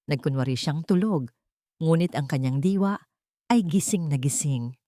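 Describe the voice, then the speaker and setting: Casting: female Filipino-accented audiobook narrator for dramatic fiction, slightly low register, very dry recording. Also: normal speed, dark tone, no echo. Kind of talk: scripted dramatic story narration.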